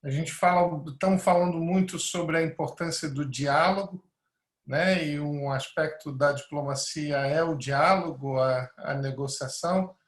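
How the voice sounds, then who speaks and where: Man, webinar moderator, fairly high voice, echoey room, polite hesitant questions. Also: measured pace.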